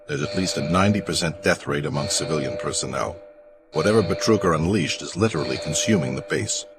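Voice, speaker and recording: A man playing a grim, deliberate counselor recording an urgent audio log in a sci-fi horror drama. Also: very deep voice. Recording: loud music under the voice.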